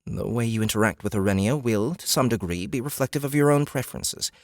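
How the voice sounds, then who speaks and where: A man's voice: mid-range voice, no room sound, clean studio sound, voice pushed up, lightly acted. A man voicing a composed, formal female guide from another world in a fantasy audiobook, patient and faintly judgmental. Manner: measured, formal, explanatory.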